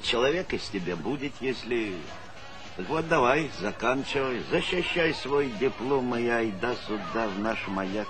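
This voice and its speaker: A man's voice: medium pitch, expressive, measured pace, music in the background, natural voice. An actor playing a hearty, middle-aged collective farm chairman with a folksy, businesslike manner in a stage comedy. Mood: persuasive, businesslike. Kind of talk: Soviet stage comedy acting.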